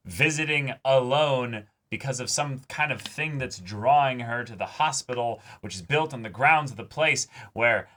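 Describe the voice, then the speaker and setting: A man's voice: mid-range voice, normal speed, few pauses, bright, forceful tone. A man co-hosting a casual reaction show, joking, exclaiming and swearing as he comments. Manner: profane.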